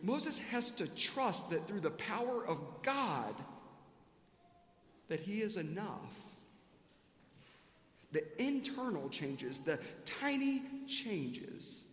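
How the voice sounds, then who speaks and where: High-voiced male preacher in an echoing church. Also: big pitch swings, takes it slowly, long pauses. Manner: rhetorical repetition, earnest questioning. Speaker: pastor preaching to a congregation. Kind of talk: sermon. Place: large reverberant church sanctuary. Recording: echoey hall with long reverb.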